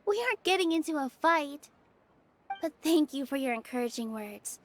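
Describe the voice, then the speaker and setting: A woman's voice: high-pitched, animated, normal speed, slight background noise. A woman voicing a young, sweet dancer who speaks politely, earnestly and modestly. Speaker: theater dancer. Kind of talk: game voice acting.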